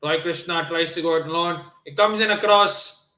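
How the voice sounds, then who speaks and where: Male stream host, casual football commentator, high-ish bright tone, echoey room sound. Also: moderate pace. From Nepal. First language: not Hindi. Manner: excitable, lots of fillers and restarts. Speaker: livestream watchalong host. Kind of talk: live football commentary and chat with viewers.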